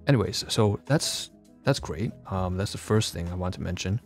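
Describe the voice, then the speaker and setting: A man's voice: deep voice, moderate pace, faint music behind. A man streaming a casual vlog, rambling with lots of fillers and restarts, sounding congested. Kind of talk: casual livestream vlog talk. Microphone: phone.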